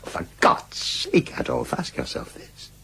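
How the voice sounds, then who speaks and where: An actor in a radio drama playing a defiant, foul-mouthed Nazi brownshirt commander, sardonic and blunt in manner. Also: male voice, slow pace, fairly bright tone. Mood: incredulous, pleading.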